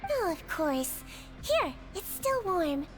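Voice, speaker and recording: Small female creature nurse, very high and lilting, gentle but sly, game dialogue over music. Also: very animated, slow pace, heavily acted. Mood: coaxing. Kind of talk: scripted game voice acting.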